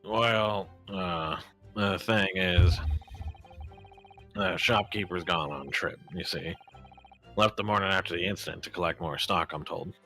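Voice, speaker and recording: A brusque, middle-aged police inspector who brushes off every question in a casual, dismissive way, read aloud by a man. His voice sits very low yet comes across bright and forceful. This is game dialogue, voiced as a fan dub. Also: measured pace, long pauses, soft background music, heavily acted. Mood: offhand. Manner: offhand, dismissive, colloquial.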